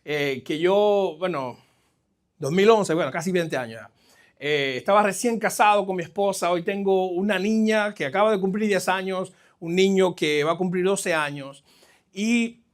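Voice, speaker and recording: A middle-aged man with a Caribbean Spanish accent giving a conversational, halting sermon as a guest pastor, addressing the congregation directly. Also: sits high, animated. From New Jersey, of Caribbean family. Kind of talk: live sermon, personal testimony. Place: church, speaking to a congregation.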